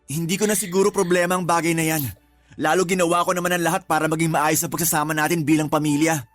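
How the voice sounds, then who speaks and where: A man's voice: fairly high pitch, flat delivery, fast talker, fairly bright tone, dry recording, lightly acted. A man playing a weary, resentful live-in partner in a radio drama, exasperated and firm. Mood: firm, tired. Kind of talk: Tagalog radio drama acting. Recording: faint music underneath.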